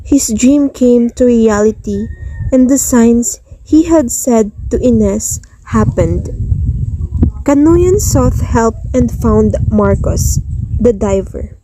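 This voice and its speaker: Slow, mellow woman narrator. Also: fairly high pitch, no room sound, poor audio. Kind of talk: scripted storytelling narration.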